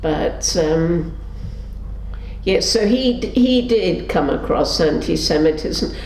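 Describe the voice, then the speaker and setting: A woman's voice: animated, takes it slowly, a little mellow. An older woman recounting her memories in an oral history interview, voicing the people in her stories as she goes. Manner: storytelling, acts out quotes with pitch shifts. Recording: roomy sound with faint background noise.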